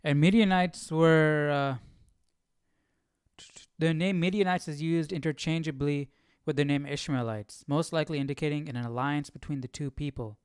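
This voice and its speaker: A man's voice: a little high, moderate pace, clean tone, no echo, clean studio sound. A man hosting a Bible study livestream, chatting informally with slang and reading out study notes.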